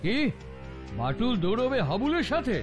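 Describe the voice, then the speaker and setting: A man playing a cunning, excitable sports coach in a cartoon dub, emphatic and given to repeating words. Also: very high-pitched, normal speed, over music. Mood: sly, scheming. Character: scheming male running coach, excitable and cunning. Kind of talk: cartoon dubbing.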